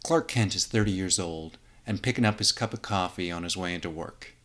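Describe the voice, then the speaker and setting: Low-voiced man narrating informally. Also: brisk, fairly bright tone. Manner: informal, conversational. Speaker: narrator of his own fan script. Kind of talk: scripted narration with casual asides.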